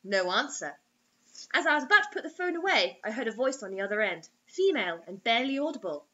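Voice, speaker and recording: A young adult woman giving an expressive, theatrical, mocking reading of a story on a webcam in a small room. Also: on the high side, fast talker, highs cut off. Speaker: host reading bad fiction aloud.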